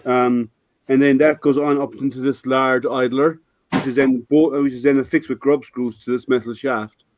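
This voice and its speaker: A man's voice: mid-range voice, steady tone, normal speed. An older man hosting a casual live stream, his voice a little scratchy, explaining his printer with many restarts and fillers. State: slightly scratchy voice.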